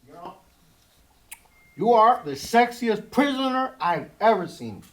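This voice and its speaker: A man's voice: sits high, sing-song, poor audio. A man playing a short, creepy, lecherous bully who gropes for words, in a screenplay table read. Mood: leering. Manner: halting, searching for words, leering.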